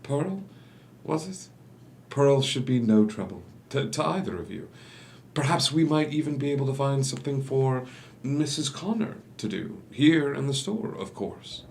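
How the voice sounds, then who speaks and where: An actor playing a charming, calculating gentleman doctor and proprietor in a musical table read, speaking in a courtly, deliberate manner. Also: mid-range voice, animated, gravelly. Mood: smooth, persuasive. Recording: noisy home recording.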